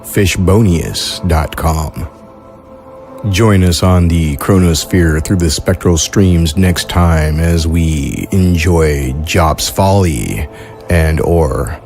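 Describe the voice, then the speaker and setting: A man's voice: low voice, takes it slowly, a little mellow. A man serving as the show's narrator, reading scripted end credits. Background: music.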